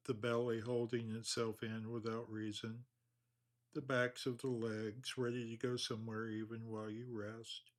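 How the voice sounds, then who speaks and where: A man leading a guided meditation, speaking slowly and calmly with long pauses. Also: medium pitch. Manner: slow, calm, measured delivery with long pauses.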